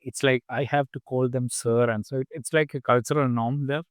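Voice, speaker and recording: Young man, smooth clear voice, dry close sound, halting casual talk. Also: medium pitch, steady tone, no echo. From Kerala, India. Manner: hesitant, repeats words, many fillers. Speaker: podcast host. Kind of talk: casual conversation.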